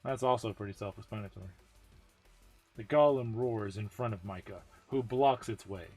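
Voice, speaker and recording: A man's voice: lowish voice, moderate pace, a little mellow, husky, soft background music. A man narrating a game story as an amateur dramatic reading, with informal self-deprecating asides.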